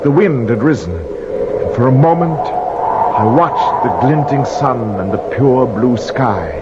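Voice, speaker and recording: An actor playing a guilt-ridden sailor telling his tale in solemn, archaic words in old-time radio drama style. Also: mid-range voice. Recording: muffled, noisy vintage radio recording.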